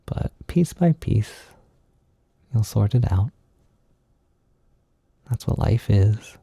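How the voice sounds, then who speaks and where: A man giving an intimate, informal comfort monologue, speaking in a gentle, reassuring but hesitant and self-deprecating way. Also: on the low side, animated, slow pace, vocal fry, slightly rough, no echo. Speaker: audio creator giving comfort and validation.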